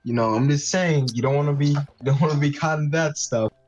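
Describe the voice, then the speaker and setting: A man in casual group chat, speaking haltingly with "like" peppered throughout. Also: fairly high pitch, a little mellow, faint music behind. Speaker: participant in a group gaming livestream. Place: online group voice call. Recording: dull, lo-fi voice chat audio.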